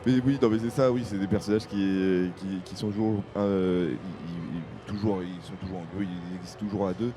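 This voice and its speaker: A man's voice: sits low, fairly even intonation, normal speed, hardly any pauses, background noise. A man with a Parisian accent interviewing guests, friendly and a little hesitant, laughing easily. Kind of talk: casual interview. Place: outdoors at a fan convention.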